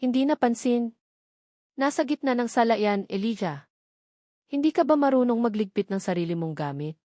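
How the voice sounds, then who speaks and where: A woman's voice: fairly high pitch, animated, normal speed, mellow, dry recording, lightly acted. Woman as young policewoman, proud, bitter, confrontational, audio drama, dry sound. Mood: annoyed. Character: proud, embittered young policewoman in a forced marriage.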